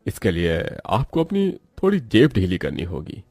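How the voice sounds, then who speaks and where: A man's voice: lowish voice, wildly swooping pitch, moderate pace, a little mellow, no room sound, clean studio sound, full character voice. A man playing a matter-of-fact corrupt company interviewer in a cartoon story, formal and businesslike. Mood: cool, formal. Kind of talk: Hindi cartoon moral story.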